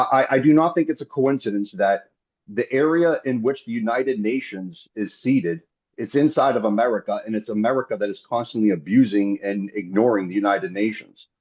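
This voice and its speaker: A man's voice: medium pitch, darkish tone, husky. A man giving informal political remarks as a panel speaker, earnest and emphatic, often repeating his points. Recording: dull lo-fi online call audio.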